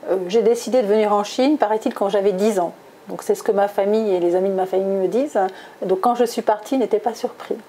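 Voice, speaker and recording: Mellow middle-aged woman from southwest France. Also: medium pitch, a little hiss or hum. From Toulouse, southwest France. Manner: hesitant, frequent pauses and self-corrections. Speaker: interviewee, executive recruiter. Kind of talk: conversational interview answers.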